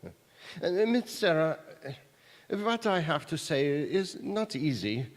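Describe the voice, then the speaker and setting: A man playing a hesitant, awkward music school master in a radio play, speaking haltingly with many pauses. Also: fairly high pitch, very animated. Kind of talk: radio drama acting before an audience. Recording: echoey hall, long reverb.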